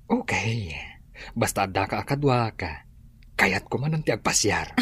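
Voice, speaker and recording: A man playing an affectionate, easygoing father and boss in an Ilocano radio drama, with a husky voice. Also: fairly low pitch, very animated, moderate pace, a bit bright, full character voice. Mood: warm, relaxed.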